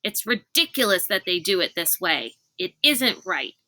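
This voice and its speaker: A woman's voice: lowish voice, very animated, moderate pace, pushed and bright. A woman voicing a cranky, stubborn little girl who grumbles and snarls in a storybook read-aloud. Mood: grumbling.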